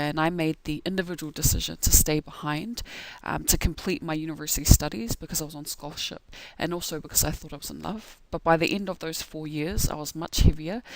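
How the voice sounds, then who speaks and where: A woman's voice: low voice, creaky, darkish tone, no room sound, low-quality recording. A young woman with a New Zealand accent telling a prepared personal story as a seminar speaker. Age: young adult. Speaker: seminar speaker, community organiser.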